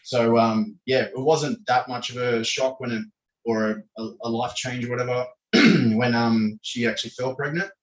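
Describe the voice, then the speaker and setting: A man, his voice croaky as he keeps clearing his throat. He talks in loose, meandering answers full of fillers and restarts. He sits at some distance from the microphone, and the room around him rings. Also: mid-range voice, normal speed, muffled recording. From Australia. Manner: rambling, hesitant, many fillers and restarts. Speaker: podcast guest. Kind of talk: casual interview answers. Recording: echoey room, distant from the mic.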